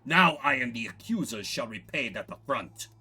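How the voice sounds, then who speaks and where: Game villain voiced by a man: haughty, resentful robed rival, sharp tone, theatrical swings of pitch. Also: lively intonation, moderate pace, breathy edge, full character voice. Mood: bitter, sneering. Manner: pompous, grudge-bearing. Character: bitter, vengeful male rival in a gold robe.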